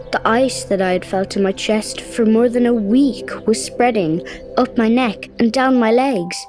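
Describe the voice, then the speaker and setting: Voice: medium pitch, natural voice. A performer playing a cross, wistful young boy, telling his story in a confiding, reflective way in a children's audio drama. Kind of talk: first-person narration in children's audio drama. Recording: music clearly behind the voice.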